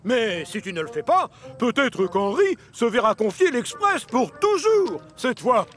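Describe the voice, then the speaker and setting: A stern railway boss. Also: male voice, very high voice, very animated, unhurried, a bit bright, full character voice. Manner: authoritative, scolding.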